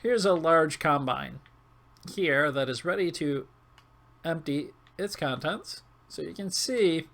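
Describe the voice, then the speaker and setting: A man with a coarse, hoarse, failing voice giving casual, halting commentary over gameplay. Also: a little high, a bit bright. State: hoarse, losing his voice. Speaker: gaming video host.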